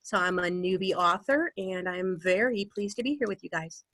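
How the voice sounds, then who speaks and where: A woman's voice: fairly low pitch, moderate pace, a bit bright, no room sound. A woman from Wisconsin speaking as an author on a panel, easygoing with frequent fillers. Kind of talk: casual panel talk. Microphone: video call.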